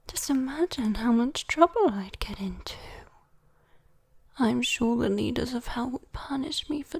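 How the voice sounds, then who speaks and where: A British woman voicing a sweet, teasing, possessive demon girl in a breathy, coaxing whispered ASMR roleplay. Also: a little high, full character voice. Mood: sly, possessive. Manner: breathy, teasing, coaxing, slow with lingering pauses. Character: sweet, teasing, possessive young female demon comforting a human.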